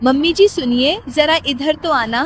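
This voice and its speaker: A woman voicing a dutiful, put-upon young daughter-in-law, polite but quietly exasperated, in a cartoon story. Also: high-pitched, unhurried, slight background noise.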